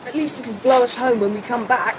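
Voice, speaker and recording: An actor voices a sensible, take-charge schoolboy in a children's audio drama. The voice is brisk and well-spoken, at a medium pitch. Noisy ambience runs clearly behind it. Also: fairly even intonation, lightly acted. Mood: brisk, intrigued. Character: sensible, confident schoolboy, leader of young adventurers.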